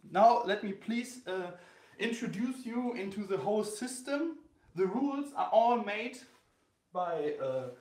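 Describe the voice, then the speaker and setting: A man in his thirties from Germany with a German accent, hosting a battle and explaining the rules live to a phone camera, halting with many fillers and restarts. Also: sits high, echoey room. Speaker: host of a graffiti sketch battle. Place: indoor room with a wall-mounted bracket.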